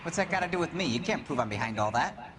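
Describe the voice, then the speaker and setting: Defensive, animated working man acted by a man. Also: fairly high pitch, quick pace, noisy background, close to an everyday voice. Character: defensive, bitter 1940s working-class male gas fitter. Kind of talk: dramatic video game acting.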